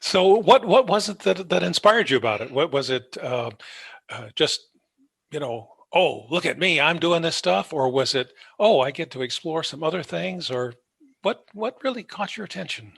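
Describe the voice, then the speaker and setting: Higher-voiced man hosting a podcast. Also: expressive, normal speed, gravelly, a bit bright, slightly rough, no room sound, clean studio sound. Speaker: podcast host. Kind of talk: scripted introduction, then conversational interviewing.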